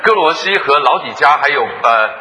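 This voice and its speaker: A man's voice: a little high, expressive, pushed and bright, rough recording. A man who introduces the guest pastor, then interprets the sermon phrase by phrase. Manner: rapid interpreting after each phrase. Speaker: church host and interpreter.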